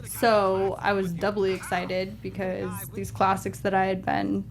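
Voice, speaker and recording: A woman's voice: mid-range voice, completely flat delivery, a little slow, vocal fry, poor audio. A woman co-hosting a podcast, chatting casually about films. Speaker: podcast co-host. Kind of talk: casual film review chat.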